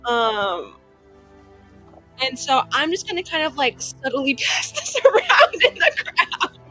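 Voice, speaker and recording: A woman's voice: sits high, wildly swooping pitch, slow, pushed and bright, faint music behind, poor audio. A woman playing a tabletop roleplaying game, improvising her moves in a casual, rambling way full of "like". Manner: rambling, peppered with "like".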